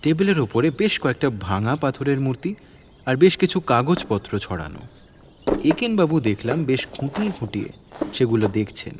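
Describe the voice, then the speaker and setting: A man's voice: medium pitch, big pitch swings, moderate pace, background noise. A man narrating a scripted detective story as its narrator.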